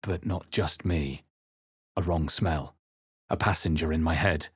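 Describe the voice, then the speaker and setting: A man playing a wary, brooding fantasy adventurer in a video game, with a husky voice and a grave, measured delivery. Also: extremely low pitch, no echo, studio quality, exaggerated voice. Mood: grave, reflective. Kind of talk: dramatic fantasy game narration and character lines.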